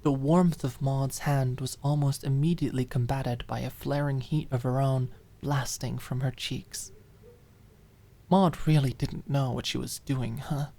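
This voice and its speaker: A woman's voice: very deep voice, steady tone, unhurried, warm tone. A woman reading a dramatic fiction audiobook expressively, lingering on key words. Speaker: audiobook narrator. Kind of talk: dramatic scripted fiction narration.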